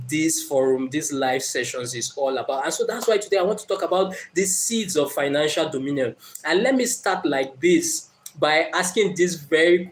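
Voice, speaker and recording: A man's voice: fairly high pitch, a little hiss or hum. A man with a Nigerian accent hosting a motivational live stream. He speaks earnestly and encouragingly, greets commenters, and uses many fillers.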